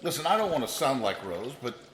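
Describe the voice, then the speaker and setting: A man's voice: medium pitch, big pitch swings, quick pace, a bit bright. A man playing a harried condo official who does his own repairs, in an audio comedy. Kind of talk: audio comedy drama acting. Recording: echoey, reverberant space with faint noise.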